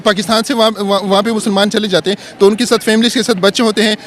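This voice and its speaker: High-voiced man explaining haltingly. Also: little pitch movement, a bit bright. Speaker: member of the public being interviewed. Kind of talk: street interview, explaining an opinion.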